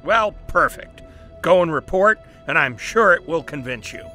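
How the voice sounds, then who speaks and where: Brisk persuasive editor boss in an audio drama, explains confidently, music playing behind him. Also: male voice, a little high, expressive, pushed and bright. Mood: pushy, skeptical. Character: brisk, pushy, persuasive male newspaper boss. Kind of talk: family audio drama acting.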